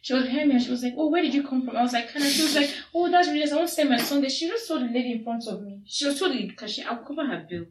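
High-voiced woman from nigeria, talks super fast, animated storyteller, far from the mic. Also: slight background noise. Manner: animated storyteller, rapid-fire. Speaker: podcast guest. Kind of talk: casual chat. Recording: echoey room, away from the mic.

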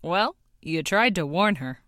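A woman's voice: on the low side, animated, a little slow, noisy background. A woman playing a crude, hard-bitten mercenary with a drawling delivery in an audio drama. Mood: sneering. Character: crude, sneering mercenary with a gun.

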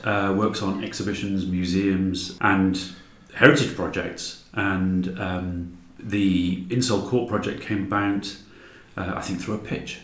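A man's voice: sits low, steady tone, takes it slowly, fairly bright tone. A man chatting casually as an interview guest about his design work. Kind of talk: casual podcast chat. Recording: roomy echo.

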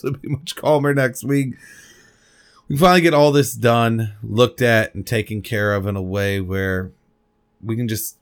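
Rambling, candid male podcast host. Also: medium pitch. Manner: swears casually. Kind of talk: solo podcast monologue. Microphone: desk microphone at a computer.

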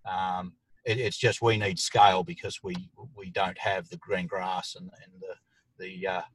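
A man's voice: low voice, level tone, measured pace, breathy edge, low-quality recording. A man from the outback, a technical expert who answers questions in a plain, practical way with "um" fillers, recorded inside a vehicle. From far western New South Wales, Australia. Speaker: irrigation technical manager, guest expert. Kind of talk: interview answers.

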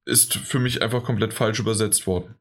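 A man's voice: on the low side, fairly even intonation, brisk. Man with short, casual replies. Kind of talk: casual podcast chat.

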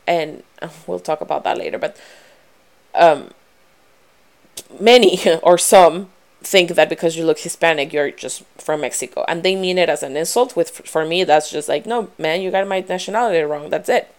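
A young woman from Ecuador speaks in a low, smooth voice with a strong Ecuadorian Spanish accent. She talks earnestly to her viewers, stopping on fillers and restarts and growing more animated as she goes. Her voice stays clear and resonant. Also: moderate pace. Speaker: vlogger. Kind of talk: personal talk to camera.

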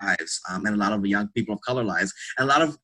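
A man's voice: on the low side, fast talker, sharp tone. A man speaking casually as an interview guest, restarting thoughts and checking in with "right?" as he reasons aloud. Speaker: podcast guest, theatre artist and academic.

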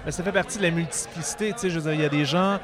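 Higher-pitched male interviewer amid crowd. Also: very fast. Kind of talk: casual interview questions. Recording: busy crowd noise behind.

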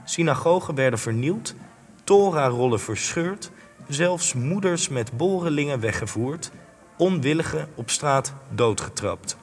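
A young actor playing a blunt, relentless interviewer in a radio play, probing and insistent. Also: male voice, fairly high pitch, lively intonation, a little slow. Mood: grave, accusing. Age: young adult. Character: blunt, relentless male interviewer confronting a war veteran. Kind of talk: Dutch-language radio play acting. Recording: long echoing hall, music underneath.

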